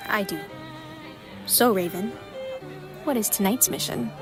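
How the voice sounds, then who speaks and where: A woman playing a calm, loving blind seer in an audio drama, measured and gentle. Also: medium pitch, over music. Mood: fond.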